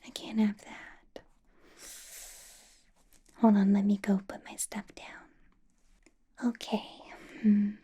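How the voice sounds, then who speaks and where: Woman playing a slow, whispering, possessive vampire lady. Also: medium pitch, little pitch movement. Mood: pleased, tender. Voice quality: breathy soft whisper. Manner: slow, intimate, flirtatious, coaxing. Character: doting, possessive female vampire boss, smitten and coaxing. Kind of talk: ASMR roleplay, personal attention.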